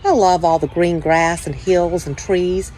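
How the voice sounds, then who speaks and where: A woman hosting a children's story time, reading aloud with measured, deliberate phrasing. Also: fairly low pitch, fairly even intonation, warm tone, soft background music, rough recording. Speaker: church children's ministry director, story time host.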